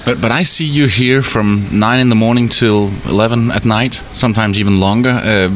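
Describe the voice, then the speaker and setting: A man's voice: on the low side, fairly even intonation, normal speed, fairly bright tone, slight background noise. A man interviewing a hotel worker in a hot hotel bar, asking curious, lightly teasing questions. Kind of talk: casual interview.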